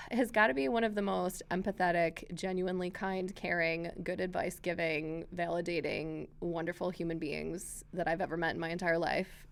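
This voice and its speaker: A woman hosting a casual chat podcast from a library room, a bit hoarse from a cold, bubbly and quick to giggle. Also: on the low side. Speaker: podcast host.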